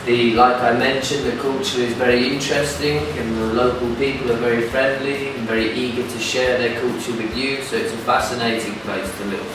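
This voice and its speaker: Even-toned English man, very echoey. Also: medium pitch, fairly quick, hardly any pauses. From Birmingham, England. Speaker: English teacher. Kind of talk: interview testimonial. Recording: very echoey, distant mic, music over it. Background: music.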